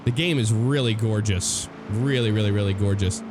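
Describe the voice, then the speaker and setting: A young American man with a cold-roughened, hoarse voice, rambling casually as he comments on a driving game. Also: fairly low pitch, moderate pace. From United States. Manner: rambling, relaxed, many fillers and restarts. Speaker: gameplay commentator. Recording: game car sounds loud behind voice. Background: car engine and road noise from the game.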